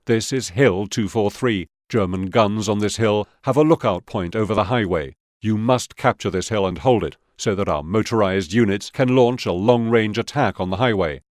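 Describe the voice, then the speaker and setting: An actor playing a firm, businesslike Soviet officer giving orders in a video game mission briefing. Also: male voice, on the low side, normal speed, few pauses, no echo, clean studio sound, close to an everyday voice. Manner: authoritative, clipped, instructional.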